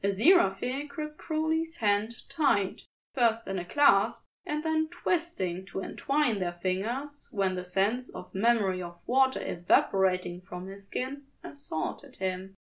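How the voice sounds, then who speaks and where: Casting: female fiction narrator, highish pitch, measured pace, expressive scripted read. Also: far from the mic. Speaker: podfic narrator.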